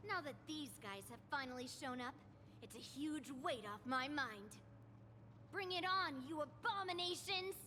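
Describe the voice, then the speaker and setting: A woman's voice: sits high, lively intonation, normal speed, sharp tone, a little hiss or hum. A woman playing a bold, bossy young girl warrior in a video game, brash and commanding. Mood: fierce, eager.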